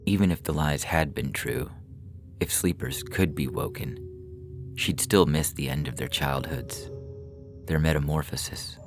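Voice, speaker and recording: A man's voice: rumbling low, unhurried. A man reading a short story in a scripted, dramatic narrating style, as on a fiction podcast. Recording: music behind the voice. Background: music.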